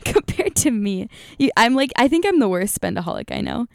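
Giggly young woman with a scratchy, gravelly voice. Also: on the high side, very animated, fairly quick, dry recording, clean studio sound. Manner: giggly, rambling with many fillers. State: scratchy, tired voice from singing. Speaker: vlogger. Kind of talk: casual chat to viewers.

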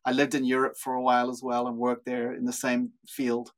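A man's voice: medium pitch, monotone, a little fast. A man with a South African accent, a guest in a podcast interview, speaking thoughtfully with frequent small restarts as he explains his views. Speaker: guest, leadership consultant.

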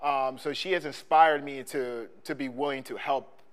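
A man co-hosting a podcast, speaking in a casual conversation, halting with many restarts and ums. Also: medium pitch, fairly even intonation. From Philadelphia. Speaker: podcast co-host; sports coach and trainer.

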